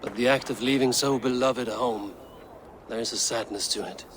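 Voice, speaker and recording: A man playing a steadfast, loyal Viking warrior in a game cutscene, with formal, measured speech. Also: mid-range voice, steady tone, fairly bright tone, a little hiss or hum, natural voice. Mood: resolute. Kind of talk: dramatic game cutscene acting.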